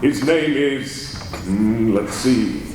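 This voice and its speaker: An actor playing a mysterious male avenger who taunts a prisoner with slow, menacing words and soft laughs, in old radio drama style. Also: medium pitch, animated, a little hiss or hum. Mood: taunting. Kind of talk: 1930s radio drama acting. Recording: old roomy radio recording.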